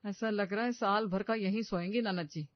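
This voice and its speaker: A woman's voice: mid-range voice, fairly even intonation, normal speed, no echo, lo-fi sound, natural voice. A woman voicing a put-upon young sister-in-law in a story, complaining with sarcasm. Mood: sarcastic.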